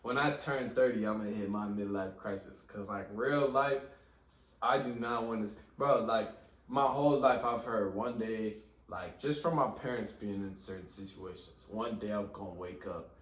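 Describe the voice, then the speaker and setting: A man's voice: lowish voice, moderate pace. A man in his early twenties chatting casually as a podcast host, peppering his talk with slang and "bro". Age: 20s. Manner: slangy, says bro constantly. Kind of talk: casual chat. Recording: echoey room from far off with faint hiss.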